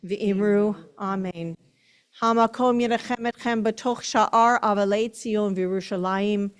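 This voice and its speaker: A woman rabbi leading a service, reading and reciting prayers in a solemn, measured, formal way with careful enunciation. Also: medium pitch, steady tone, clean tone.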